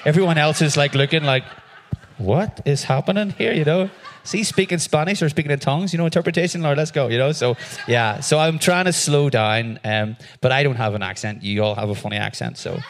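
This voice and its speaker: A man in his forties with a Northern Irish accent, talking quickly and jokily about his life in a church interview. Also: fairly high pitch, a little hiss or hum. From Northern Ireland. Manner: fast-talking, animated and jokey. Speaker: visiting worship musician.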